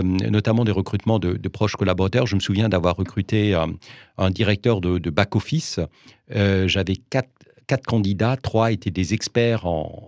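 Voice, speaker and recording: Interviewee: Alsace-born man, Alsatian first language, low gravelly voice, dry close sound, measured and hesitant. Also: a little mellow, dry recording. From Alsace, France. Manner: measured, hesitant, many restarts. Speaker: interviewee, business executive. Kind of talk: interview conversation.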